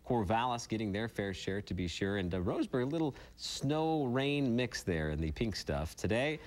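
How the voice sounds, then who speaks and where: A man's voice: mid-range voice, a little mellow. A man with an American accent anchoring a TV morning newscast, reading news and chatting informally.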